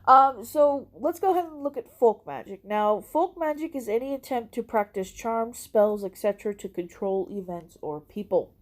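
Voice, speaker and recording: A woman's voice: on the high side, expressive, normal speed, few pauses. A woman with a congested, stuffy nose hosting a casual educational talk, often checking in with "okay?". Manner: chatty, frequent "okay?" tag questions. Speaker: host.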